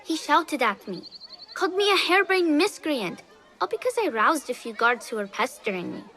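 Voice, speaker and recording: A woman's voice: sits high, very animated, moderate pace, bright, forceful tone, a little hiss or hum, exaggerated voice, rough recording. A woman playing a spirited, mischievous young street girl in a video game. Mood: sulky, hurt. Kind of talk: dramatic video game acting.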